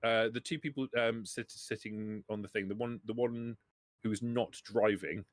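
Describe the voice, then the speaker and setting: A man narrating a tabletop game as game master, haltingly, often repeating words as he thinks. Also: on the low side, fairly even intonation, no room sound.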